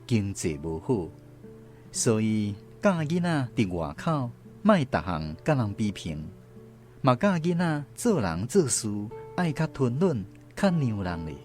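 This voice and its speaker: Lively low-pitched Taiwanese man narrating. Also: measured pace. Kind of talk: scripted first-person storytelling for radio drama. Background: faint guitar music.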